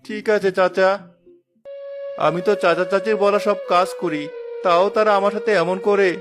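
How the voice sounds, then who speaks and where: A man's voice: high voice, fairly even intonation, moderate pace, music in the background, natural voice. A man voicing a meek, overworked orphan village boy in a cartoon, speaking in a plaintive, obedient way. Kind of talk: Bengali cartoon voice acting.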